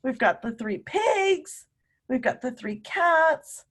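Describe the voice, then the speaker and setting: A woman teacher reading a picture book aloud to young children, animated and emphatic, often putting questions to her listeners. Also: sits high. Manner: animated, emphatic, drawn-out words, coaxing young children along.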